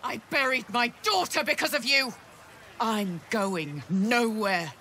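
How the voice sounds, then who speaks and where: A woman's voice: fairly high pitch, slow pace, pushed and bright, a little hiss or hum. A woman playing a bitter, vengeful grieving mother in a video game, cold and resolute. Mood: furious grief. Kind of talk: video game character dialogue.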